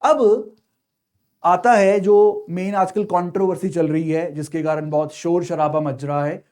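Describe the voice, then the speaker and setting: This is a man with an Indian accent and a notably high-pitched voice. He lectures like an exam coach, didactic and explanatory. He often sets up a question, answers it himself and checks in with short tags. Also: steady tone, a little slow, darkish tone. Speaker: civil services exam teacher.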